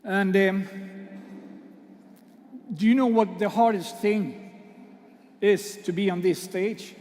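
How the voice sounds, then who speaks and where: A man hosting an awards ceremony, joking with the audience in a halting way with many fillers, speaking English that is not his first language. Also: high voice, a little mellow. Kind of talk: speech to an audience. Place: large hall. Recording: long hall reverb.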